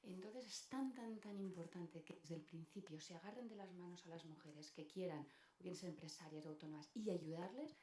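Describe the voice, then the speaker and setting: A woman's voice: fairly low pitch, moderate pace, warm tone. A woman, speaking off the cuff as a panelist, repeating words for emphasis. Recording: roomy echo, faint background noise.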